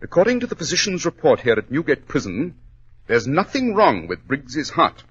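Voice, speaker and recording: An actor playing a shrewd, confident gentleman detective, precise and formal, in a 1940s radio drama. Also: a little high, huge pitch swings, moderate pace, breathy edge, full character voice. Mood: keen, assured. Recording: dull old radio recording.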